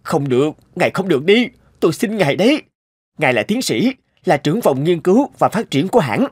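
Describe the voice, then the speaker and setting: A man's voice: a little high, big pitch swings, fairly quick, husky, no echo, clean studio sound. A man voicing an anxious, pleading young male office assistant in a cartoon, with a deferential manner. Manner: deferential, imploring. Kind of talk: cartoon voice acting.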